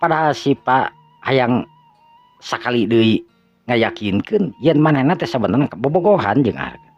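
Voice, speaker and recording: A man's voice: medium pitch, lively intonation, measured pace, darkish tone. A man with a Sundanese accent narrating a folk tale, drawn out, with stumbles. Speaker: storyteller. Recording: dull lo-fi audio with faint music.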